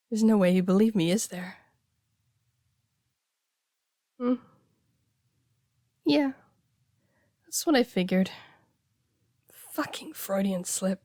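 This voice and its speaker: A woman playing a casual, blunt heartbreaker friend in an intimate audio roleplay, talking conversationally with hesitant, expressive delivery. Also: mid-range voice, no echo, clean studio sound, lightly acted. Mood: flustered, resigned. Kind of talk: intimate one-sided audio roleplay, naturalistic dialogue.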